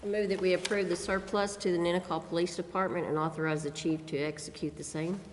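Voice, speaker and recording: A woman's voice: lowish voice, steady tone, fast talker. A woman council member formally stating motions in an indoor chamber. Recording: reverberant chamber with background noise.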